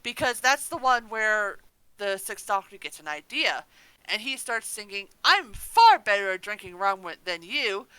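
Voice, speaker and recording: Casting: playful, excitable podcast co-host, animated delivery, close dry sound, loose casual recap style. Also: medium pitch, normal speed, clean tone, no echo, rough recording. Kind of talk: casual chat, recapping an audio drama.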